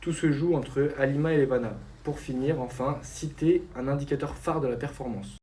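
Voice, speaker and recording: A man's voice: mid-range voice, fairly even intonation, moderate pace, dark tone. A young man hosting a student quiz show, reading questions and explanations in a scripted announcer tone. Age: young adult.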